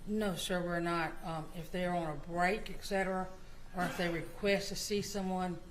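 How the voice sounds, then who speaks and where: A woman's voice: fairly low pitch, steady tone, moderate pace, slight background noise, rough recording. A woman testifying as a court clerk, answering politely and matter-of-factly. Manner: polite, answers "yes, sir", matter-of-fact. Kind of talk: witness testimony. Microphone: courtroom microphone.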